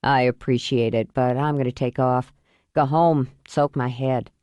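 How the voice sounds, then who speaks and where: A woman's voice: extremely low pitch, fairly even intonation, measured pace, darkish tone, smooth, no room sound, voice pushed down. A woman narrator voicing a weary young man with a dry, blunt manner.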